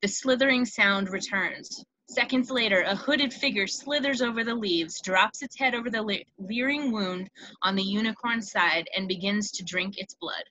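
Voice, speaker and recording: A woman's voice: mid-range voice, moderate pace, dull audio. A woman reading scripted stage directions as narrator of a table read. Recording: clear steady background noise.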